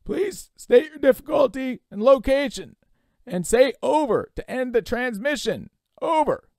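An insistent radio operator played by a male reader in an audiobook story. He pushes his voice high and projects each clipped, official phrase emphatically, with very little room sound. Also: very animated, measured pace, creaky, a little mellow, dry recording, clean studio sound, exaggerated voice. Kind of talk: dramatic audiobook character voice.

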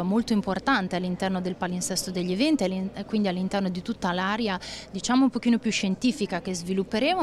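Marketing director interviewee, female, thirties, mid-pitched voice, measured and formal delivery. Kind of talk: on-camera interview answers, promotional explanation. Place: outdoor courtyard. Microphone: handheld reporter microphone.

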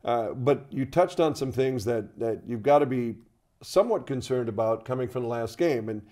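An older man in a conversational, halting studio sports discussion, often repeating words as he makes his point. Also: medium pitch, warm tone. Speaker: radio play-by-play commentator.

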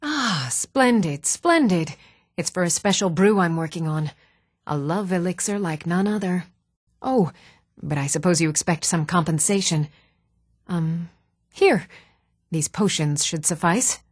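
An actress playing an even-toned townswoman and shopkeeper in a fantasy video game, speaking in measured, clearly enunciated, theatrical phrases. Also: lowish voice, no echo, studio quality. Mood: pleased. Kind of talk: scripted video game voice acting.

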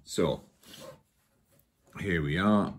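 A man's voice: low-pitched, drawn-out words. A man giving a casual hands-on tutorial, murmuring unhurriedly as he concentrates on his handwork at a table at home. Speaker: hobbyist demonstrating a repair. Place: at a wooden table in a home room. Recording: faint background noise and clicking.

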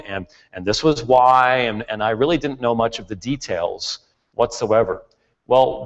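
Man lecturing in a reverberant hall. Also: mid-range voice. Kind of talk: live church presentation, explanatory lecture. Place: large church auditorium, at a pulpit. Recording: dull, reverberant large-hall sound.

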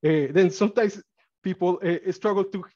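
A man's voice: high-pitched, no room sound. A man from Mexico with a Spanish accent, presenting college data on a webinar, with frequent fillers and restarts. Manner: halting, many fillers and restarts, gets excited. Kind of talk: webinar presentation with screen share. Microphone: computer mic on video call. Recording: dry, slightly dull video-call audio.

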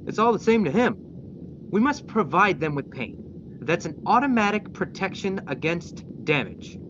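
A clinical, matter-of-fact male scientist acted by a man in a radio drama. His pitch moves animatedly as he explains. The sound is muffled, with clear noise behind. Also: big pitch swings, moderate pace. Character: clinical, detached male scientist at robot factory. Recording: noisy, dull live drama recording.

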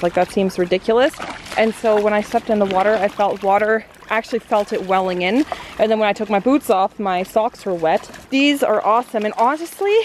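A woman in her thirties chatting casually to her vlog audience in an informal, chatty way. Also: a little high. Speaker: vlogger. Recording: clearly noisy, car and outdoor ambience.

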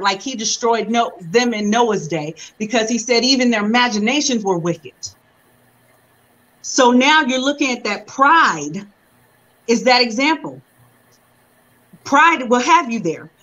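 A young woman preaching a sermon over a video call from home, reading scripture slowly and then explaining it in relaxed preaching talk. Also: a little high, lo-fi sound. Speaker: reverend preaching. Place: room at home. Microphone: video call webcam.

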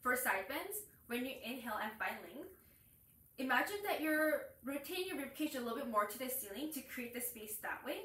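A woman's voice: high voice, expressive, a little fast, a bit bright. A young woman teaching yoga, explaining breath and cueing poses while she demonstrates them. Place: small room with curtains and yoga mat, indoors. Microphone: far camera. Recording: echoey room, far from mic.

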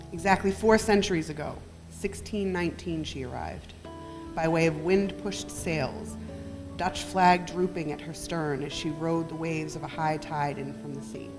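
Low-voiced woman reading poetry aloud. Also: low-quality recording. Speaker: poetry reader. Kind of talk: reading poems aloud at an event. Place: library meeting room, at podium. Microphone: podium gooseneck microphone.

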